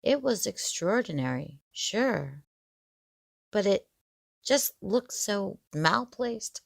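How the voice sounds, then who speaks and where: A woman narrating a scripted story in the first person, in a measured, expressive storytelling manner. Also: mid-range voice, gravelly, no room sound, studio quality.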